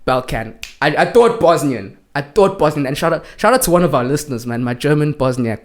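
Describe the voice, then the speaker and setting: A man co-hosting a podcast, telling stories in an animated, slangy way. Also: on the high side, a little fast. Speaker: podcast co-host. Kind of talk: casual chat.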